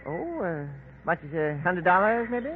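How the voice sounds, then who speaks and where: High-pitched crafty old backwoods islander. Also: male voice, very animated, moderate pace. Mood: sly haggling. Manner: folksy drawl, hesitant haggling. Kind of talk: 1940s radio drama acting. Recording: tinny, noisy 1940s radio recording.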